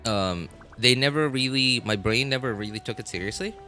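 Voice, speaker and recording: A man livestreaming games in casual chat, talking in a halting, rambling way and often repeating himself. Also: mid-range voice, pushed and bright. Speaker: live game streamer. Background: music.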